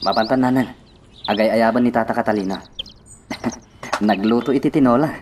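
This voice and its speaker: A man's voice: medium pitch, moderate pace, natural voice. A man with an Ilocano accent playing a devoted but vengeful young son in a radio drama, earnest and dramatic. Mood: warm. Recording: loud insect and clicking noise.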